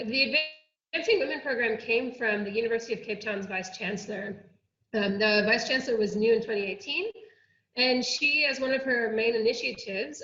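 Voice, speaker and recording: A woman's voice: a little high, pushed and bright. A woman with an American accent giving an earnest, explanatory academic presentation at an online workshop. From United States. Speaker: academic oceanographer presenting a programme. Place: indoor room, online workshop. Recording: muffled, roomy online-call audio.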